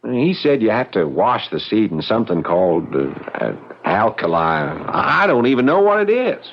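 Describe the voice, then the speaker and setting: A man's voice: lowish voice, big pitch swings, moderate pace. An actor playing a stubborn, hot-headed young man with a rural American accent in a radio drama, folksy and plain-spoken. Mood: sheepish. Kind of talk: old-time radio drama acting. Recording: dull vintage radio drama sound.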